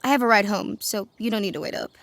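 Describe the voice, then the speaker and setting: A woman's voice: medium pitch, quick pace, vocal fry, heavy background noise, natural voice. An actress playing a breezy, outspoken teenage schoolgirl in a family audio drama, talking in casual teen slang.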